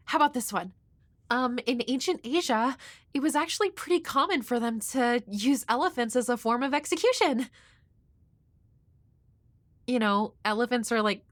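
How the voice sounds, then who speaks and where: Woman playing a high-voiced, shy, nerdy young woman. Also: a bit bright, dry recording, close to an everyday voice. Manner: hesitant, rambling, nervously apologetic. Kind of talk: intimate audio roleplay addressed to the listener.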